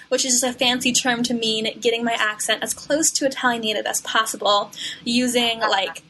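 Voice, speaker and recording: A woman co-hosts a podcast in a chatty, enthusiastic way. Her voice is bright and a little high. She drops Italian words into casual English talk. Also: poor audio. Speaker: podcast co-host.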